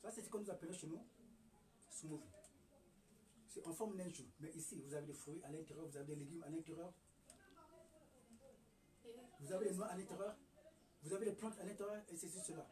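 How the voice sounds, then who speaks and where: A high-pitched man delivers an emphatic lecture, firing rhetorical questions and stumbling over restarts. He sounds set back from the microphone, a bit distant. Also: fairly quick, pauses often, a crowd in the background. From Republic of the Congo. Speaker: herbal remedy promoter. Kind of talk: lecturing monologue.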